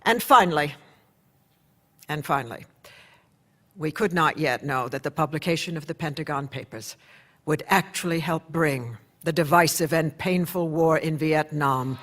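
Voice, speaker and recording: An actor playing a male newspaper editor, narrating in a measured, reflective way. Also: on the high side, a bit bright. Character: male newspaper editor looking back on the case. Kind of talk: radio play narration.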